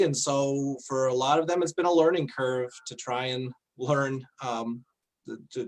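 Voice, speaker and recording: A man's voice: medium pitch, rough recording. A man, a pastor, speaking thoughtfully on a video call panel, often restarting his sentences.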